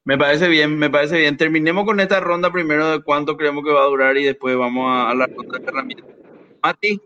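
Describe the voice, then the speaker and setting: Moderator, dull lo-fi sound. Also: male voice, a little high, fairly even intonation, brisk, pushed and bright. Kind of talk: casual group discussion.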